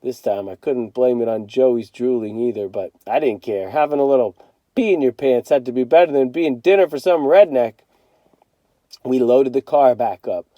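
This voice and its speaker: A man reading a children's novel aloud as a teacher, in an expressive storytelling style. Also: mid-range voice, no room sound, highs cut off.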